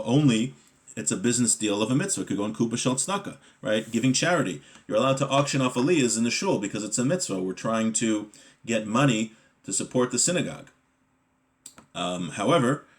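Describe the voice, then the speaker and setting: A man giving a Torah lesson as a religious teacher, reading Hebrew text and explaining it conversationally in English, often checking in with a short question. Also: mid-range voice.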